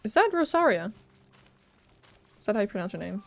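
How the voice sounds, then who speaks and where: A woman's voice: medium pitch, sing-song, brisk, vocal fry, soft background music. A woman streamer giving casual, playful commentary as she reacts to game dialogue.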